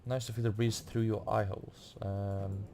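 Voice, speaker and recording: A man giving casual commentary as he plays a video game, haltingly thinking aloud. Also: on the low side, little pitch movement, mellow. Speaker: video game player making a playthrough.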